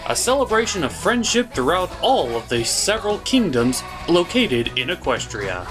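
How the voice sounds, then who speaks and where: A man hosting a comic movie review, narrating theatrically with dramatic pauses and emphasis. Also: high-pitched, very animated, over music.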